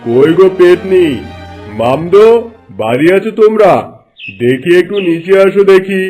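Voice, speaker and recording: A suspicious village headman played by a man with a somewhat high voice. He is stern and moralising in cartoon style, over music. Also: animated, measured pace, darkish tone. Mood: questioning. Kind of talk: cartoon voice acting.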